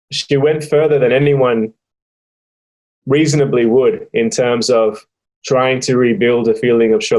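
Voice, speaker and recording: Slow, thoughtful Australian man. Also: mid-range voice, darkish tone, low-quality recording. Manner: slow and thoughtful, with frequent pauses and fillers. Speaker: interviewee. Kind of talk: reflective personal testimony.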